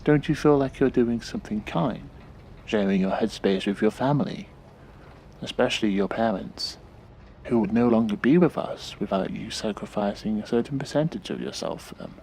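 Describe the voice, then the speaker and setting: An actor playing a calm, probing therapist in an audio drama, with a measured, polite and clinical manner. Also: male voice, fairly low pitch, gravelly, a little hiss or hum, low-quality recording. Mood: earnest, coaxing.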